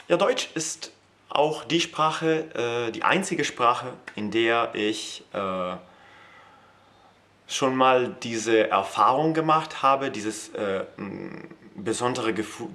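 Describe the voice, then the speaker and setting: A man's voice: lowish voice, fairly bright tone. A man in his thirties with an Italian accent, talking to camera in a hesitant way with many fillers and restarts. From Italy. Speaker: language teacher and polyglot. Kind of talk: casual talk to camera.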